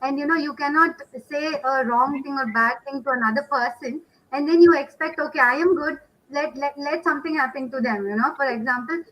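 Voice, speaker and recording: A woman panelist speaking off the cuff in halting, circling phrases. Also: high voice, fairly bright tone, rough recording. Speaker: podcast panelist. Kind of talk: unscripted panel discussion.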